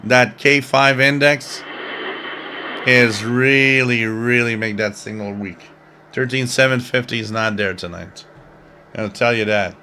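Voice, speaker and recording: A man's voice: mid-range voice, fairly even intonation, unhurried, sharp tone, a little hiss or hum. A man in his fifties hosting a shortwave radio livestream, chatting casually in a rambling, jokey way as he reads out viewer comments. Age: 50s. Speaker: host of a live shortwave radio stream.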